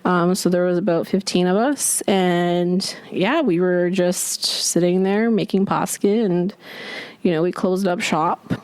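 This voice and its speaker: A young woman from Poland speaks in a lowish, gentle voice, answering an interviewer candidly. She talks in a thoughtful, halting way, often restarting her sentences. The recording is dry and close, with no room sound. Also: smooth, no echo.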